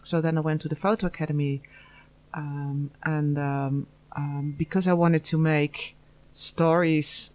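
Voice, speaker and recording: A woman's voice: deep voice, steady tone, a little slow, a little mellow. A woman from the Netherlands, a student answering interview questions earnestly, with many hesitations. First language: Dutch. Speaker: master's student.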